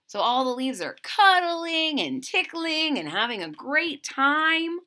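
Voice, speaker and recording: A woman's voice: high-pitched, big pitch swings, slow pace, pushed and bright. A woman reading a children's story aloud expressively, with asides and questions to her listeners. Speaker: storybook reader.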